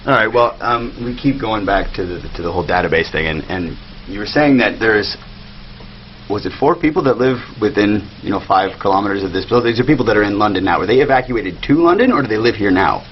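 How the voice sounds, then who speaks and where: Guy interviewer on radio, casual questions, fairly low voice, faint noise behind. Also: animated, quick pace. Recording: dull sound with faint noise.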